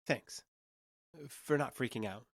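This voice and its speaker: A man's voice: medium pitch, takes it slowly, no room sound, studio quality, natural voice. A man plays a young alien fugitive passing as human in an audio drama. The character is earnest and matter-of-fact, with natural, slightly hesitant speech. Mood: uneasy, hesitant.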